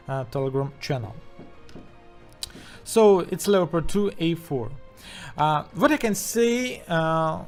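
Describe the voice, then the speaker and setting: A man's voice: fairly high pitch, a little mellow. Hesitant man with a strong Russian accent. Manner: halting, hesitant, many fillers and restarts. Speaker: channel host. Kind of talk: unscripted commentary over video footage.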